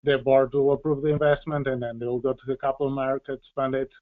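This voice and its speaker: A man answering questions as a policy expert in an explanatory panel discussion, speaking haltingly as he searches for words. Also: mid-range voice, level tone. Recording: tinny, phone-like band-limited audio.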